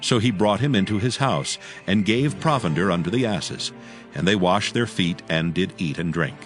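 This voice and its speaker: A man's voice: low voice, lively intonation, normal speed, soft background music. A man narrating dramatized scripture in archaic English with a solemn storytelling manner.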